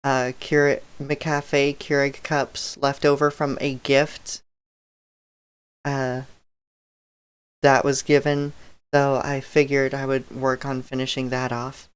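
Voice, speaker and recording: A woman streaming a game and chatting casually to her viewers, her throat a bit dry and raspy, talking in a relaxed, rambling way with soft laughs. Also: very deep voice, slow. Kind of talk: casual live-stream chat.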